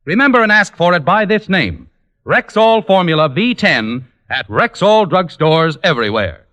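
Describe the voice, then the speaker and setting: A man's voice: sits high, very animated, moderate pace, sharp tone. A man with an American accent delivering a scripted sponsor message as a mid-century radio announcer.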